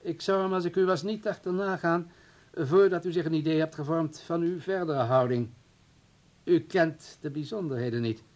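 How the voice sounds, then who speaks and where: An actor playing an insinuating political official in a radio play, hiding threats behind halting politeness. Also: male voice, a little high, darkish tone, natural voice. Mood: veiled threat. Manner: hesitant mock politeness, veiled threats. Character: insinuating male political official, smooth-mannered and menacing.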